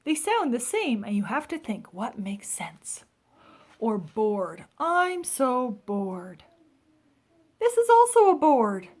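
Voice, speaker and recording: A woman teacher reading a children's story aloud expressively, pausing to explain in an emphatic way. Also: sits high, darkish tone.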